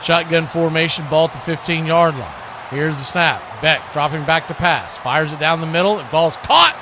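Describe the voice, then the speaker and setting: Male play-by-play football announcer, American, fairly high voice, clipped phrasing, clear background noise on a live stream. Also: moderate pace. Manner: narrates action in short clipped phrases, repeating words. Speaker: play-by-play sports commentator.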